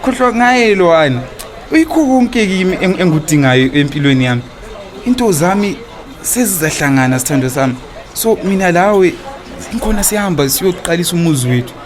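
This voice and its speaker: An actor playing a smooth-talking, evasive cheating husband in a radio drama, with a Zimbabwean accent and a stammer when cornered. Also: male voice, high voice, animated, fairly quick, crowd noise. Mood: tender, sweet-talking. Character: smooth-talking, cheating husband wooing his young lover, evasive debtor.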